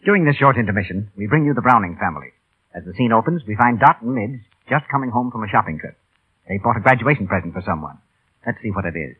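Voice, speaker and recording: Brisk, husky old-time radio announcer man. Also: lowish voice, lively intonation. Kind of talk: 1930s scripted radio announcing. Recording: tinny, narrow-band old radio broadcast.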